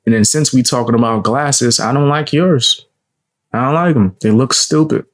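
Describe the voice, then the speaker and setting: Gravelly, blunt young streamer guy. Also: normal speed. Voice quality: low gravelly voice. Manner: blunt, mocking, swears freely. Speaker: reaction streamer. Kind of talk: casual live-stream reaction commentary.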